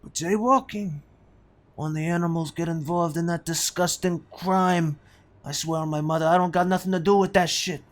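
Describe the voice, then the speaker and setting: Man acting as mafia boss, high-pitched, blustering, wisecracking, touchy, food-obsessed, comic audio play. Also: steady tone, normal speed, close to an everyday voice. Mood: confiding. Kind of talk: comedic audio drama acting.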